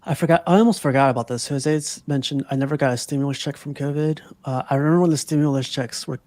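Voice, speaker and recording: A man's voice: a little high, fairly even intonation, quick pace, darkish tone, no echo. A man hosting a casual livestream chat over a video call, rambling and laughing often. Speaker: podcast host.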